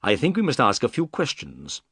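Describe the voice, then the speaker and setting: A man reading the part of a calm, polite detective in a storybook, speaking firmly and briskly. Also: mid-range voice, breathy edge, no room sound, clean studio sound, full character voice. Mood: thoughtful. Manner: firm, clipped, quick delivery.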